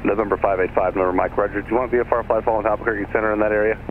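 Air traffic control guy on radio, rattles it off quick, thin tinny sound. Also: on the low side, steady tone. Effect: aircraft radio. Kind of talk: clipped procedural radio instructions. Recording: tinny aviation radio, faint noise.

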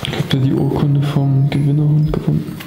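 German guy exploring old house, amused, gravelly, echoey room off mic. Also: fairly high pitch, fairly quick, music in the background. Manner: amused, lively reactions. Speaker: urban explorer. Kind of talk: casual chat while exploring.

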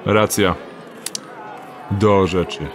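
A man's voice: sits low, steady tone, very slow, a little hiss or hum. An actor playing a wry, mocking male thief in an audio drama.

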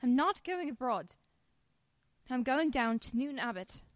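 A woman's voice: sits high, animated, a little slow, no echo, studio quality. A woman acting an anxious but stubborn wife in an old-time radio play. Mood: defiant. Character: Pamela Brent, anxious but stubborn young English wife.

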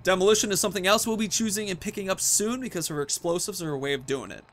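A man in his thirties giving chatty, animated Let's Play commentary, telling a roleplay story and stressing key words. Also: high-pitched, fast. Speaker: gaming video host.

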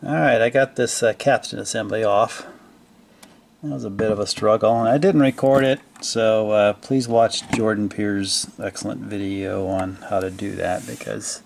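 An older man casually narrating a hands-on repair, thinking aloud in a halting, unscripted way. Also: lowish voice, fairly even intonation, gravelly, slight background noise. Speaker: hobbyist restorer presenting a repair video. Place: indoor basement-like home workshop.

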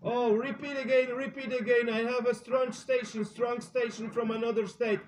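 A man's voice: very high-pitched, flat delivery, moderate pace, a little hiss or hum, rough recording. A man with a Polish accent working a CB radio station, making repeated formal calls and asking for call signs. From Poland. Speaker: CB radio operator. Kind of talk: CB radio calling.

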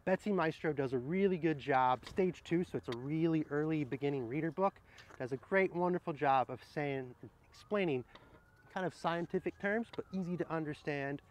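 A middle-aged man speaking outdoors, sharing picture books in a gentle, explanatory way and addressing viewers directly. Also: fairly high pitch, moderate pace. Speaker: horticulturist presenting children's books. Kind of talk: picture-book read-aloud and casual book recommendations. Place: outdoors under trees in an arboretum garden.

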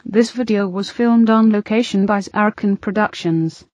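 A woman's voice: mid-range voice, fairly even intonation, normal speed, a little mellow, no room sound, muffled recording. A woman reading scripted promotional narration as an end-credits announcer.

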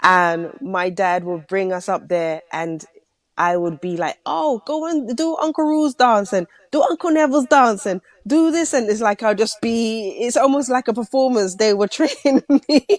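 A woman's voice: on the high side, moderate pace, no echo. A London woman, a singer, chatting in an interview about her start in music and feeling a bit unwell. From London, England. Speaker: guest singer. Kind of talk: casual interview chat.

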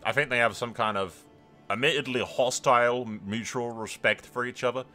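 A man's voice: medium pitch, fairly even intonation, normal speed, bright, forceful tone, soft background music. A man giving casual, sweary let's play commentary, telling the story in mock-grand announcer phrases and repeating himself for emphasis. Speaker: gaming video creator.

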